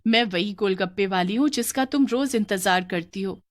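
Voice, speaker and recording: A woman's voice: mid-range voice, moderate pace, no echo, clean studio sound, lightly acted. A woman voicing a long-armed female witch who poses as a kindly snack seller, coaxing and sweet.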